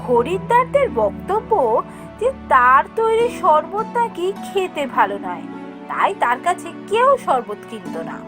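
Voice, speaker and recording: High-pitched woman narrating a fairy tale. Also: very animated, a little slow, music in the background. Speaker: storyteller.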